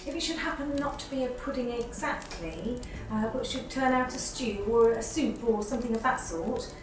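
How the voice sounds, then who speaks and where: An actress playing a sweet, eager young Victorian sister with a playful indignant streak and genteel phrasing in a literary drama. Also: female voice, a little high, normal speed, close to an everyday voice. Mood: eager, anxious. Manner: animated, genteel, old-fashioned phrasing. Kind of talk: stage-style literary radio drama acting. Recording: very echoey, distant, music behind.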